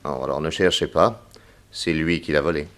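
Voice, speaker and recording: A man playing a grave, persuasive detective in a 1960s French radio serial, formal, deliberate and insistent. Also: rumbling low, fairly even intonation. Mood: inquisitive, assured. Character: grave, persuasive male detective, solemnly warning a young woman.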